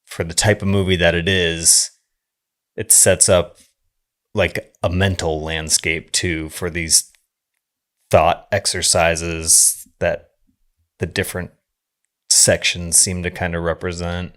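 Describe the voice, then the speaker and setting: Male film podcast co-host: low-pitched, loose and rambling, thinks aloud in unfinished phrases. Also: level tone, a bit bright. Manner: halting, rambling, trailing off mid-thought. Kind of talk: casual film discussion.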